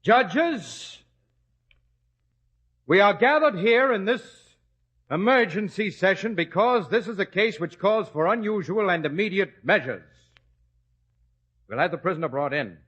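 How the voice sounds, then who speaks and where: Self-important male high priest: higher-pitched, animated, pompous, muffled radio drama sound. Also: unhurried, long pauses, breathy edge, heavily acted. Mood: imperious. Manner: pompous, commanding. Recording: dull lo-fi radio recording.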